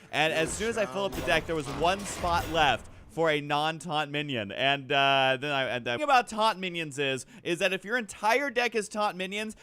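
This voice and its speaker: Man, slightly high voice, casual gaming commentary, rambling, thinks aloud, self-deprecating. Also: sharp tone, slight background noise. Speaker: gaming video host. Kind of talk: casual game commentary over a card game.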